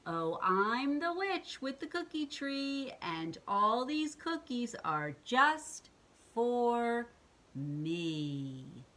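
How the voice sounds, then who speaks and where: A woman's voice: fairly high pitch, big pitch swings, slow, few pauses, a bit bright, voice pushed up, exaggerated voice. A woman voicing a selfish, not very clever witch with a sing-song, gloating delivery.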